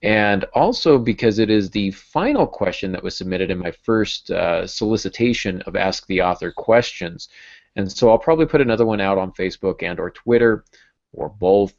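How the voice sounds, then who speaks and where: Very low-voiced male podcast host. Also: animated, moderate pace, a little mellow. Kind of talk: casual podcast talk. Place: small home room with bookshelves. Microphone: earbud headset on a video call. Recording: dull video-call audio.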